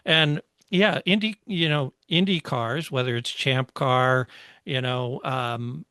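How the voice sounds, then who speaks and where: A man co-hosting a podcast, chatting casually about motor racing, explaining history at a measured pace with many fillers. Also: mid-range voice, sharp tone, smooth, no echo, clean studio sound. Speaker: podcast co-host.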